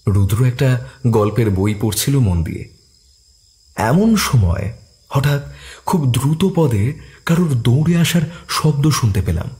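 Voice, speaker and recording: A man narrates a detective story at a steady pace. His voice has a mellow, dark tone and a mid-range pitch. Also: a little slow, long pauses.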